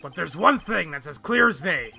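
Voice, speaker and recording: Gravelly, high-pitched man acting a gruff police detective. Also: very animated, normal speed, sharp tone, soft background music, heavily acted. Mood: earnest, gruff. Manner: blunt, folksy, calls people pal. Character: gruff, soft-hearted male police detective, folksy and loyal. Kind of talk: visual novel game fan dub acting.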